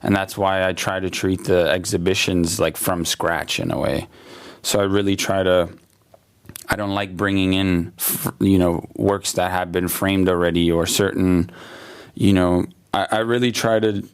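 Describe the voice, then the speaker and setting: A man's voice: low-pitched, flat delivery, unhurried, clear, resonant tone. A man, talking through his art in a loose interview, hesitant and full of fillers and restarts. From Germany, raised in the USA. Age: late 20s. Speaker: artist, guest. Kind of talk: casual interview talk. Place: exhibition hall.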